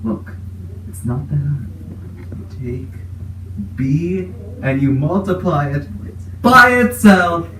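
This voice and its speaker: A man's voice: on the high side, expressive, slow, pauses often. A man voicing a mock-pompous, scheming cartoon villain in an improvised comedy fandub. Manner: mock-pompous, rambling, silly. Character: goofy scheming male villain, mock-pompous cult-leading mad genius. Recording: roomy, distant mic with clear noise.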